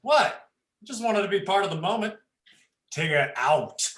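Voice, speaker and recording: A man's voice: sits high, expressive, unhurried, fairly bright tone. A man playing a cocky, flirty, playful tiger in a play reading, recorded with a roomy sound. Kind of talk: dramatized play script reading.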